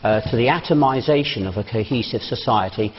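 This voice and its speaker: A man speaking as a party spokesman in a televised studio debate, measured and argumentative. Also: mid-range voice, a little hiss or hum. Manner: measured, argumentative, laughs off challenges. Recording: dull TV audio in a reverberant studio.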